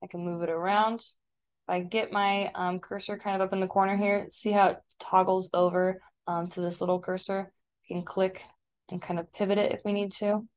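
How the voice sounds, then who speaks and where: American woman giving a software tutorial. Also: medium pitch, level tone, moderate pace, highs cut off. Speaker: digital design teacher. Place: voice-over for a screen recording.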